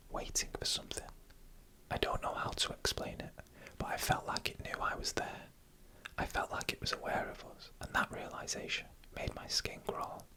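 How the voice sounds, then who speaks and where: This is an ASMR narrator telling a scripted story entirely in a whisper. The voice is breathy and bright, with sharp, airy consonants. Phrases come out slowly and deliberately, with small pauses between them. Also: poor audio.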